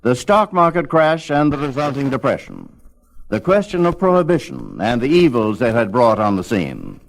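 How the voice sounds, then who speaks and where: A man's voice: mid-range voice, wildly swooping pitch, normal speed, gravelly, low-quality recording. A man reading scripted documentary narration as the narrator of a history of radio.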